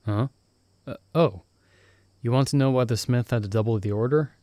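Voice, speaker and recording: A man's voice: medium pitch, moderate pace, dark tone, no room sound, close to an everyday voice. A man playing a cheerful, caring young male mage in a casual, reassuring ASMR fantasy roleplay, talking as if to a close friend. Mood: sheepish, amused. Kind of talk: ASMR roleplay, intimate one-sided conversation.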